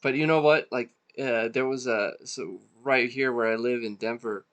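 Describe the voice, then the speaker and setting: A man born in Serbia, co-hosting a podcast in a relaxed, casual chat, swearing freely and trailing off mid-thought. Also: medium pitch, normal speed, muffled recording. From Serbia (former Yugoslavia). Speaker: podcast co-host.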